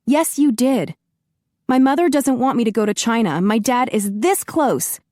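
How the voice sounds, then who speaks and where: A fiery young woman, as a female narrator puts her on, all heated and exasperated. Her voice rides noticeably higher and louder than the narration, and the sound is close and dry. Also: animated, moderate pace, dry recording, close to an everyday voice. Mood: angry.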